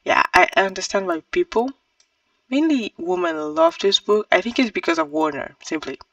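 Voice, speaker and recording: A woman's voice: on the high side, very animated, normal speed, gravelly, fairly bright tone, no room sound, distant mic. A woman giving a casual, opinionated book review in an informal, emphatic way.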